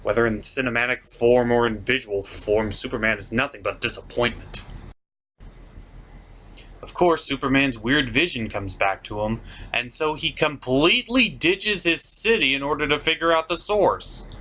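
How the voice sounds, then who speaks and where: A man's voice: medium pitch, moderate pace, sharp tone, a little hiss or hum. A man hosting a comedic puppet-show review, narrating scripted commentary in a sarcastic manner.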